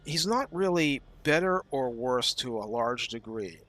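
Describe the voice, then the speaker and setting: Bright-voiced man explaining game strategy. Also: mid-range voice, expressive, a little slow, a little hiss or hum. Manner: thoughtful, explanatory, with frequent fillers. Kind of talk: casual gameplay commentary.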